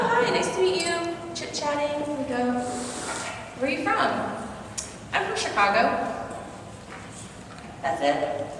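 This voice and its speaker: A woman's voice: sits high, noisy background. A young woman with an American accent, raised in Singapore, telling a personal story at a conference and acting out bits of dialogue in a conversational way. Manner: conversational, acts out dialogue with shifting voices. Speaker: conference speaker. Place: university conference hall. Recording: distant, echoey hall with reverb.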